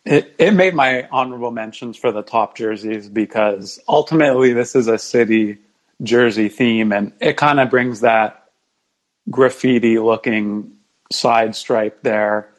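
A man's voice: mid-range voice, unhurried. A man speaking as a podcast guest in a casual sports discussion.

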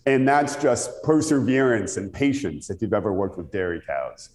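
A man's voice: on the low side, expressive, moderate pace, a little mellow. A middle-aged Canadian man with a radio-style voice, telling conversational anecdotes as an interview guest. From Ontario, Canada. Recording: echoey room with long reverb.

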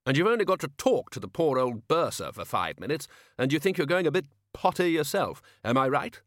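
A man voicing a loud, hearty, blustering older male archchancellor wizard in a storybook reading. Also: on the high side, big pitch swings, brisk, no room sound, clean studio sound, voice pushed up.

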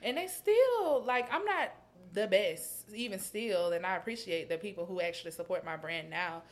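A woman in her early twenties, a designer guest, talking in a relaxed, reflective way with many "like" fillers. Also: medium pitch, normal speed. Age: 20s. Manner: relaxed and reflective, lots of "like" fillers, trails off softly. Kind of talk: casual interview chat.

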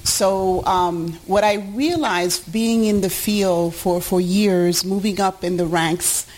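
A woman's voice: on the low side, slow, a little mellow, low-quality recording. A middle-aged woman from New York, born in Puerto Rico, giving earnest, explanatory answers as an interview guest. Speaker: guest, youth education founder.

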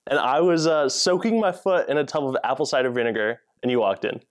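A man's voice: mid-range voice, fast talker. A man hosting a podcast, talking eagerly and casually, laughing often and filling with "like". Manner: eager, chatty, laughs a lot, many "like"s. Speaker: podcast host.

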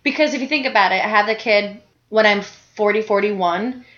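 A woman's voice: medium pitch, brisk, fairly bright tone. A woman in her early thirties, co-hosting a podcast, chatting candidly from a couch at home with lots of "like" and "you know". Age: 30s. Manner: candid, chatty, many likes and you knows. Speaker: podcast co-host. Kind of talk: casual chat. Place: home living room on a couch. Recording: roomy, dull home recording.

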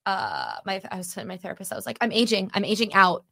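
A woman's voice: medium pitch, huge pitch swings, fast, vocal fry, pushed and bright, no room sound, clean studio sound. A woman in her thirties, a comedian guest, chatting candidly in a self-mocking way. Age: 30s. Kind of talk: casual chat.

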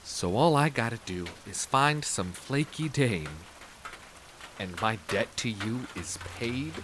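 An actor playing a gruff, cynical war veteran turned detective, terse and sardonic, in a 1930s noir audio drama. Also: male voice, medium pitch, animated, takes it slowly, noisy background. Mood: sardonic, grudging.

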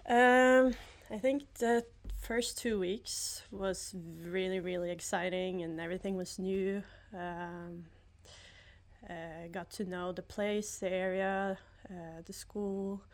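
Slow-talking woman, laughing often. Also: medium pitch, fairly even intonation. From Norway. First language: Norwegian. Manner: laughs often, hesitant with many ums. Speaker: guest, former student athlete. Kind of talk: casual conversation.

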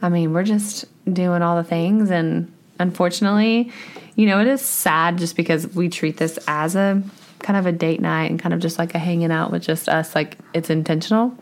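A woman talks her way through a casual podcast with her husband. Her voice sits a bit low and is slightly scratchy, and she tells stories in a lively, animated way, laughing often. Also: moderate pace. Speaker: podcast co-host. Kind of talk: casual chat.

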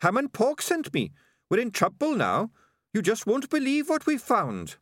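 An excitable little rat underling from a storybook, pitched far above a normal speaking voice. He is insistent and anxious, deferential yet exasperated, in a close, dry recording. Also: a little slow, no echo, heavily acted. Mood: alarmed.

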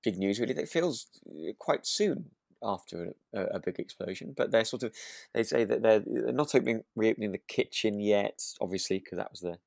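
A man's voice: lowish voice, animated, brisk, creaky, a little mellow, no echo, studio quality. A British man co-hosting a podcast in casual chat, agreeable and often breaking off to rephrase himself. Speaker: podcast co-host. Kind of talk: casual chat discussing a radio drama.

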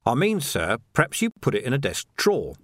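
A man voicing a tactful, shrewd police sergeant in an audiobook, speaking with measured deference. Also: mid-range voice, huge pitch swings, a bit bright, no room sound, studio quality.

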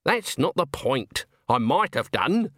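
A man voicing a stern, haughty old woman witch in an audiobook, clipped and deadpan. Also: medium pitch, slow pace, no echo, clean studio sound, exaggerated voice. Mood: stern, irritated. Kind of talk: audiobook character acting.

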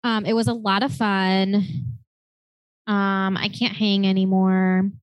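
A woman in her late twenties co-hosting a podcast, telling stories in a chatty, animated way full of "like" fillers. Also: medium pitch, slow, clean tone, no echo, poor audio. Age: 20s. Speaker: podcast co-host. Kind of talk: casual chat.

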